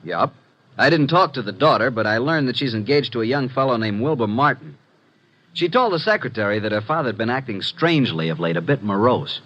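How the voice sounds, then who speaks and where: An actor playing a breezy, wisecracking young assistant to a detective in a 1950s radio drama. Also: male voice, medium pitch, sing-song, a little fast, fairly bright tone, lo-fi sound.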